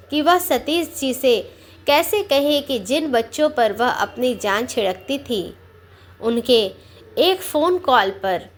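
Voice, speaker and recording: A woman storyteller reading a sentimental family story as scripted narration, with measured, deliberate phrasing. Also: high-pitched, a little hiss or hum.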